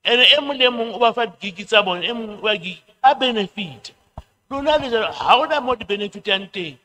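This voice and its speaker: A woman's voice: a little high, expressive, fairly quick, pushed and bright. A middle-aged woman on the committee addressing a public hearing into a handheld mic, switching between Sesotho and English and repeating herself. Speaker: committee member. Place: community hall. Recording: long hall reverb.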